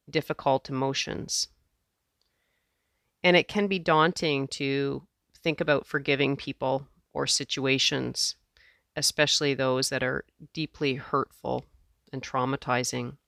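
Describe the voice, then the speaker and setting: A woman teacher leading a guided meditation in a gentle, measured way, leaving space between phrases. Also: very deep voice, gravelly, clear, resonant tone, dry recording, clean studio sound.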